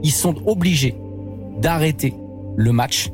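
A man co-hosting a radio show, telling a story with playful, animated energy. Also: on the high side, a little slow, over music. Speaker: radio co-host.

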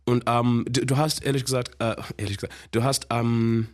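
A man from Zimbabwe with an English accent, a musician guest speaking halting German mixed with English, animated and laughing often. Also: mid-range voice. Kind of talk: casual radio interview.

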